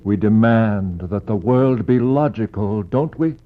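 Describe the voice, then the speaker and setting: An actor playing a solemn, intense explorer who narrates to the dead in poetic, incantatory lines, in a 1960s radio drama. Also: male voice, on the low side, a little slow, natural voice. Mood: probing, grave. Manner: incantatory, rhetorical questioning. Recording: muffled, faintly noisy 1960s radio recording.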